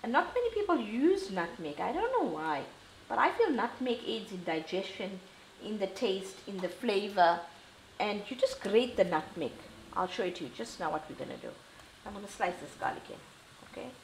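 A woman's voice: medium pitch, sing-song, moderate pace. A middle-aged woman with a South African Indian English accent, chatting reassuringly as she demonstrates a recipe at home. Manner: chatty, reassuring, talks while cooking. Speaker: cooking show host. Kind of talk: casual cooking demonstration. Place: home kitchen, indoors. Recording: echoey kitchen with frying noise.

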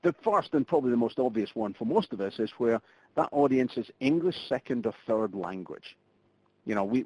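A man's voice: medium pitch, mellow, slightly rough, no room sound. A middle-aged man giving a live online training presentation, chatty and full of fillers and restarts. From Scotland. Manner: conversational, lots of fillers and restarts. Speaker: webinar presenter. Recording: muffled web conference audio.

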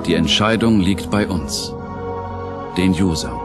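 A man narrating an audio drama from a script in a solemn, measured storytelling manner. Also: low-pitched, animated. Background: prominent music.

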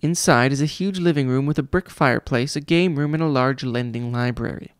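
A man's voice: medium pitch, fairly quick, smooth, no echo, natural voice. A man reading a storybook, voicing a pompous, cruel male vice principal who mimics people mockingly. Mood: smug.

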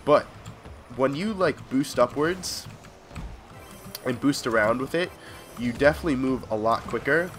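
A man's voice: mid-range voice, unhurried, long pauses, fairly bright tone. A young adult man hosting a gaming video with casual gameplay commentary, sounding congested and sick with a cold.

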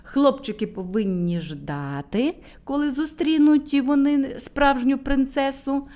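Lady reading a kids fairy tale, slow and gentle, kinda high voice. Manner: gentle, addresses children directly, lively intonation. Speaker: storyteller for children.

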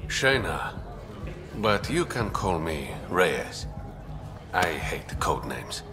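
An actor playing a suave, roguish young smuggler in a video game, smooth, sly and teasing. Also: male voice, deep voice, big pitch swings, drawn-out words, music in the background, heavily acted. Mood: sly, measured. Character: suave, roguish adult male smuggler and informant. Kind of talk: video game dialogue acting.

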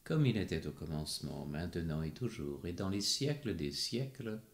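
A man with a very deep voice recites rosary responses in an even, measured way. The sound carries the echo of a reverberant room. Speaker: prayer responder. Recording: echoey room.

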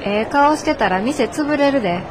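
An actress playing a brisk, nagging daughter in her twenties, blunt and scolding with a Kansai accent, in a radio drama. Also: high-pitched, animated, background noise. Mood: impatient. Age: 20s. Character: brisk, nagging 24-year-old daughter of a shopkeeper.

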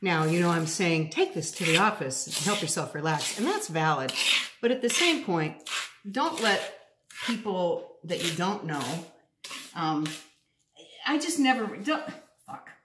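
A woman's voice: on the low side, very animated, measured pace. A woman in her fifties hosting a casual cooking demo in her home kitchen, talking upbeat and straight to viewers. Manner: chatty, upbeat, addresses viewers directly. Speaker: cooking show host. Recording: echoey kitchen, faint noise.

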